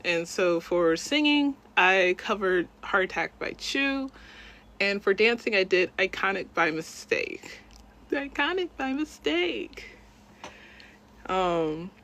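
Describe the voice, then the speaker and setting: A woman's voice: mid-range voice, pushed and bright. A young woman, a self-taught cover singer and dancer, chatting casually on a livestream in a rambling, self-deprecating way.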